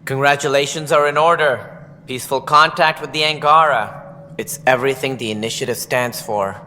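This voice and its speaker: An actor playing an earnest male colony director in a video game, speaking deliberately about hard decisions. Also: mid-range voice, fairly even intonation, sharp tone, big-room sound, slight background noise, close to an everyday voice.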